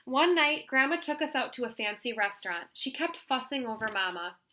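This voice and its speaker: Bright-voiced woman teacher reading aloud, higher pitched. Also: brisk. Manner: expressive, instructive, prompting listeners with questions. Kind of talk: children's story read-aloud with questions to pupils.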